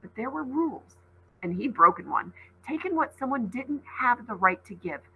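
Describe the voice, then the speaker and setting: A woman's voice: on the high side, animated, normal speed, breathy edge, narrow, tinny audio. A woman teacher reading a storybook aloud expressively and dramatically, with asides to her listeners.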